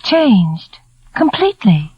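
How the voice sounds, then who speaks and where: An actress playing a gentle, earnest woman in a 1940s radio drama, speaking haltingly and sincerely. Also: on the high side, very animated, breathy edge, heavily acted. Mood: wondering. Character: earnest, gentle woman sharing a mysterious wonder. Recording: dull vintage 1940s radio recording.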